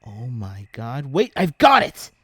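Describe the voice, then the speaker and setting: A woman playing an excitable, determined teenager in an audio drama. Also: extremely low pitch, slow pace, breathy edge, dry recording, full character voice. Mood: excited realization. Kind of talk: fan audio drama acting.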